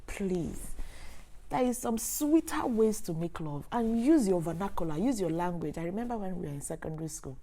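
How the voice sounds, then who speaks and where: Animated Nigerian Igbo woman speaking emphatically. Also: on the low side, moderate pace, a little hiss or hum. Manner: emphatic, opinionated, rhetorical questions. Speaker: host, sex educator. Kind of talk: live Q and A talk to online audience.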